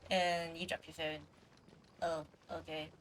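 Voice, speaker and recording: A woman's voice: low-pitched, flat delivery, a little slow, slight background noise. A woman livestreamer giving casual commentary as she plays a game, with playful murmured asides. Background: game music.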